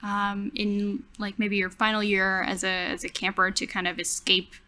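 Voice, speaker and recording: A woman taking part in a tabletop roleplaying game, describing scenes in a tentative, halting way. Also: medium pitch, completely flat delivery, pushed and bright. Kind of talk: improvised game narration.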